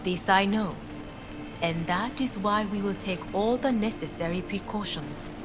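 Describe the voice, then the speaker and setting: An actress playing a composed, calculating corporate heiress in a video game, with formal, measured, commanding speech. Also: female voice, mid-range voice, lightly acted. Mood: composed, guarded. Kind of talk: video game dialogue acting. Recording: music behind the voice.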